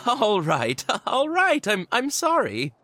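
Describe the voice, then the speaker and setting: Female shop assistant, courteous, apologetic, unhurried, extremely animated pitch, game dialogue. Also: faint music behind, full character voice. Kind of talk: video game voice acting.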